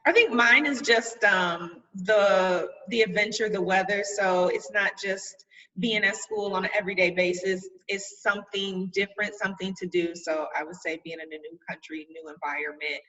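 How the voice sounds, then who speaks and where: A woman from Toledo speaks thoughtfully on a video call, pausing on fillers. Her voice is bright, and she sits far from the microphone in a room with a long echo. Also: medium pitch. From Toledo, Ohio, US. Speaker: teacher. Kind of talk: casual video-call chat. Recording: distant voice in a reverberant room.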